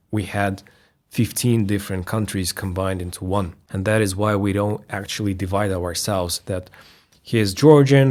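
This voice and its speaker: A man's voice: lowish voice, a little mellow. A man from Russia with a Russian accent, a native Russian speaker, talking as an interview guest in an emphatic, blunt way. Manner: emphatic, blunt, mildly profane. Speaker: guest, tech reviewer. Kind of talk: interview conversation.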